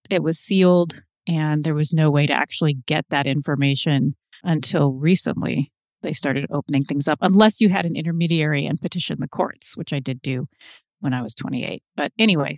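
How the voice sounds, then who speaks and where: A woman's voice: deep voice, dry recording, clean studio sound. A middle-aged woman from Seattle, a podcast guest, reflecting on her life in a chatty, storytelling way. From Seattle, USA. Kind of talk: conversational interview.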